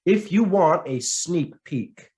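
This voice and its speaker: A man reading a written essay aloud as scripted narration, in a measured, dramatic way with deliberate pauses. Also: a little high, lively intonation, darkish tone. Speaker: reader of a written article.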